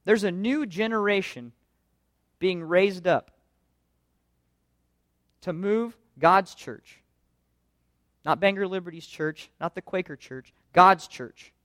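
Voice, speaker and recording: A man's voice: a little high, fairly bright tone, dry recording, studio quality. A man pastor preaching a sermon in an earnest, conversational way, full of rhetorical questions.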